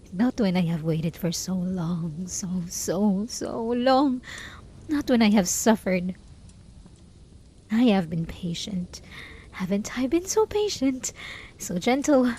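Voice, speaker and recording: A woman's voice: mid-range voice, darkish tone, a little hiss or hum, close to an everyday voice, low-quality recording. A woman playing a lonely, obsessive hermit in an intimate roleplay monologue, pleading desperately with sighs and whispers. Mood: obsessive, bitter. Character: lonely, obsessive, lovesick hermit begging a traveler to stay.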